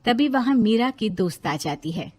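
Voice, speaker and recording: High-voiced woman narrating a story. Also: lively intonation, normal speed, darkish tone. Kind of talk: scripted moral story narration.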